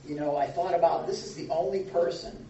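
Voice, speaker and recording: A man's voice: a little high, brisk, warm tone, far from the mic. A pastor speaking in a conversational way to a small group. He asks questions and laughs between points. The sound is dull and lo-fi, taken from across an echoey room with a faint hum behind. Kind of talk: informal Bible study teaching.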